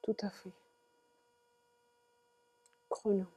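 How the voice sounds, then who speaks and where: A woman's voice: very slow, warm tone. A woman vlogging playfully to camera about coats she bought, theatrical and giggly as she talks straight to her viewers. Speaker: fashion vlogger.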